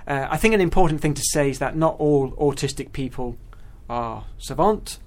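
A man's voice: on the high side, expressive, normal speed. Man explaining as guest expert. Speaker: guest mathematician. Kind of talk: explanatory interview talk.

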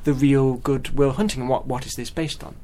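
A man telling stories as a guest in casual conversation, animated and often repeating words as he goes. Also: medium pitch, a little fast. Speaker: guest mathematician.

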